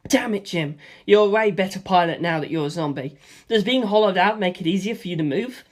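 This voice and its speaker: An excitable young fighter pilot acted out by a male reader in an adventure audiobook. He is cocky and exclamatory, swearing casually as he talks. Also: high-pitched, brisk, natural voice. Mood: taunting.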